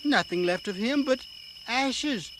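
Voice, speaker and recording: A man playing a grumbling, excitable farmer husband in an audio drama, with a folksy, exclamatory manner. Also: very high voice, takes it slowly, very noisy, exaggerated voice. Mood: shocked, grieving.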